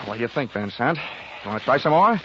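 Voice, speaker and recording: A hardboiled American private investigator played by a male radio actor, cocky and sardonic, with lively swings in pitch. His delivery is slangy and punchy, in the 1940s radio drama manner. The dull vintage recording has music clearly behind it. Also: fast, close to an everyday voice. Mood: threatening, sardonic. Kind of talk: 1940s radio detective acting with first-person narration.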